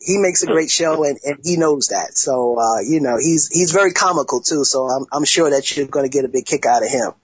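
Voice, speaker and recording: A man hosting a casual internet talk show, speaking haltingly with many restarts and fillers. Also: medium pitch, breathy edge, dry recording. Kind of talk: casual podcast chat. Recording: dull lo-fi sound, dry.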